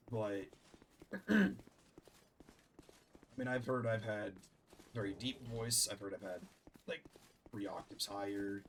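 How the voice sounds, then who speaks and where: A lower-pitched man talks through a game in a relaxed, chatty way, rambling and correcting himself as he goes. Clear background noise runs behind his voice. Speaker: gaming channel host. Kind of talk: casual gameplay commentary.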